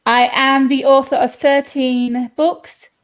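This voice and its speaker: A woman's voice: sits high, flat delivery, slow. A woman from the UK with a British accent, an author talking casually on a video call. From United Kingdom. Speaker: author and podcast host. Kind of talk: casual panel talk. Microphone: video call with a bad connection.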